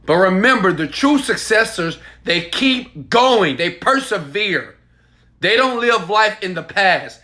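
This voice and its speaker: A man giving an emphatic, insistent motivational sales pitch, speaking straight to the viewer as an online marketer. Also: animated, takes it slowly, pushed and bright.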